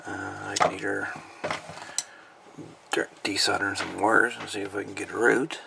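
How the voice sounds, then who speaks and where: Gravelly, deep-voiced man. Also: level tone, heavy background noise. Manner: unhurried, thinking aloud, folksy. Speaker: hobbyist radio repairer presenting a video. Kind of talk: casual hands-on repair narration.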